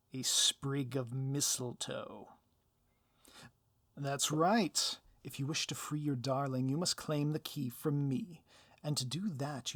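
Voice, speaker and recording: A man playing a gloating, melodramatic supervillain mastermind in an audio roleplay, with grandiose, drawn-out emphasis. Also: mid-range voice, no echo. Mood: gloating, menacing. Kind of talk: audio roleplay villain acting.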